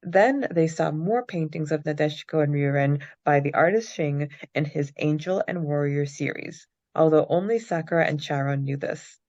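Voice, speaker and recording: A woman's voice: deep voice, normal speed, hardly any pauses, a little mellow, lo-fi sound. A young adult woman co-hosting a podcast, recapping and discussing a story. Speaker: podcast co-host. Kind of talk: reading a recap, then casual book-club chat.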